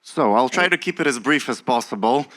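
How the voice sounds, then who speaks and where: A man from the audience putting a question to a panel, with a bright, mid-pitched voice. He is polite and careful, trying to keep it brief. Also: lively intonation, brisk, rough recording. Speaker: audience member asking a question.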